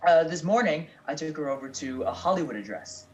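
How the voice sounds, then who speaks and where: An obliging male cabbie in a 1940s detective radio drama, played by a man. His voice is lightly raised in pitch, and he talks in a casual, halting way. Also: lively intonation, darkish tone, close to an everyday voice. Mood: helpful. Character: obliging, hesitant male 1940s taxi driver.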